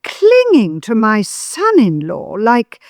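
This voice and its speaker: A woman's voice: on the high side, huge pitch swings, takes it slowly, darkish tone, no echo, clean studio sound, exaggerated voice. A woman playing a haughty, disapproving older mother-in-law in an audio drama, pompous and full of righteous insinuation. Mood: disdainful, insinuating.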